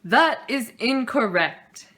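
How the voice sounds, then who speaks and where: A woman's voice: on the high side, lively intonation, slow, fairly bright tone. Woman reading as a formal, precise school principal bear. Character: formal male school principal bear announcing spelling words.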